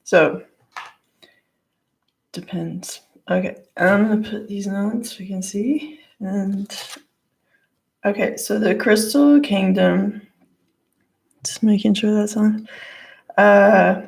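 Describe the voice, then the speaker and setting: A woman with a hoarse, gravelly voice talks through a tarot and crystal card reading from her living room. She speaks slowly, with plenty of "like" and "you know," and there is a rough fry under her mid-pitched voice. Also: darkish tone. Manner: rambling, lots of "like" and "you know". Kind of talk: casual spiritual card reading.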